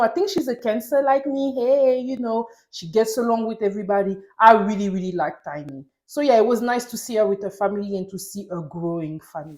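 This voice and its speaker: A woman's voice: mid-range voice, rough recording. A woman from Paris with a French accent, speaking English as a second language, gives a casual, chatty and enthusiastic recap as a video host. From Paris, France. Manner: chatty, enthusiastic fan talk. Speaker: YouTube host reviewing reality TV.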